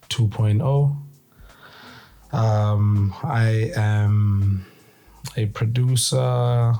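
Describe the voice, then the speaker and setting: A man from South Africa, a music producer, answering interview questions in a laid-back, unhurried way. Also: on the low side, creaky, dark tone. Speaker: guest, producer and rapper.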